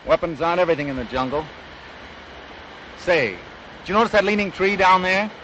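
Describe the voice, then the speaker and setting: Radio adventure hero, higher-pitched male voice, brisk and commanding, practical survivor, muffled hissy vintage recording. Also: expressive, bright, forceful tone. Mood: defiant, intent. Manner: brisk, urging, confident. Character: resolute male castaway hunter, protective and practical. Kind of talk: 1940s radio drama acting. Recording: muffled, noisy old-time radio recording.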